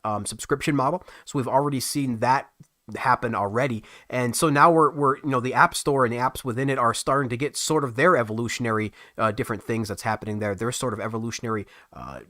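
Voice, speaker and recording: A man's voice: mid-range voice, fairly bright tone, no echo, clean studio sound. A man hosting a casual music-app livestream, chatting to his viewers in a rambling way with many restarts. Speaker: livestream host.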